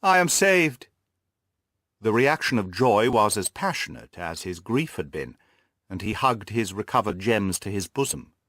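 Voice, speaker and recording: A man's voice: lowish voice, animated, moderate pace, fairly bright tone, no echo. Dry, close-sounding male audiobook narrator. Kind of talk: scripted narration of a classic detective story.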